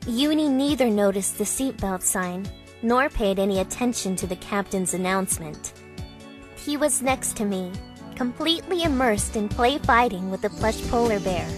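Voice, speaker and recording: A woman playing a composed young woman, narrating a visual novel in a calm, deliberate reading. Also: medium pitch, close to an everyday voice. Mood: calm, mild. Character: composed young woman recounting events thoughtfully. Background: soft background music.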